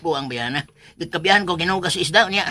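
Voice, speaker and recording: A man's voice: sits high, expressive, fairly quick, noisy background. A man playing a simple, put-upon, grumbling helper in a comic radio drama, with hesitant, muttered interjections. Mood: disgusted, complaining.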